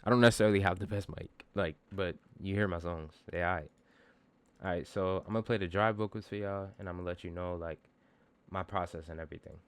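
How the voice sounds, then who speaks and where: A man with a bassy voice giving a casual, slangy music production tutorial, informal and self-deprecating, with some swearing. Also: steady tone, fast, clean tone.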